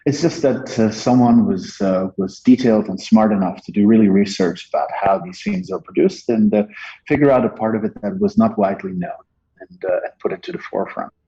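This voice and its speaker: A Dutch-accented man in his forties explains marketing in a slow, careful way, often stopping to restart his sentences. His voice sits low and mellow, a little husky, coming through the muffled, lo-fi sound of a video call from an office. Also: animated. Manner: measured, hesitant, many fillers and restarts. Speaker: marketing executive guest. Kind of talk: business interview, explaining. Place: office room, indoors. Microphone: earbuds on a video call. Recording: dull lo-fi video call audio.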